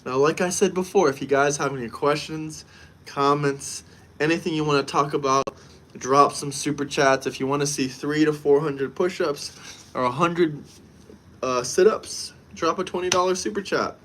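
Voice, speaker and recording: A man's voice: on the high side, fairly even intonation, normal speed, fairly bright tone, a little hiss or hum. A man from the United States talking casually on a live stream, brash and emphatic, often repeating himself.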